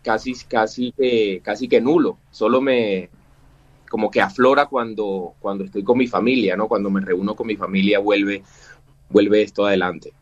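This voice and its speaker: A man's voice: lowish voice, steady tone, normal speed, highs cut off. A man in his forties from Cuba, a musician guest talking in an interview, hesitant, with many fillers and restarts. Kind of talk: interview conversation.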